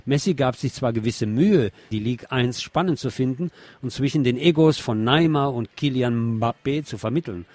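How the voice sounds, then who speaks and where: A man's voice: mid-range voice, normal speed, hardly any pauses, darkish tone, no echo. A man presenting news and commentary on radio, reading with wry, sardonic asides.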